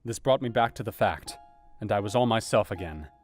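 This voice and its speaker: An actor playing a grave, conscientious doctor, narrating his own account in a measured, formal, sombre way in an audio drama. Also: lowish voice, animated, a little mellow, soft background music, natural voice. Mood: practical, urgent. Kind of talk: dramatized first-person narration.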